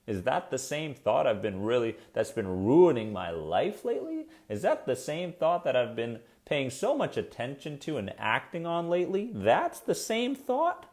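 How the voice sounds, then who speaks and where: A man's voice: mid-range voice, huge pitch swings, moderate pace, few pauses, darkish tone. A man hosting a live coaching stream on anxiety, chatty and encouraging, with a soothing voice, talking straight to his viewers and reacting to their comments.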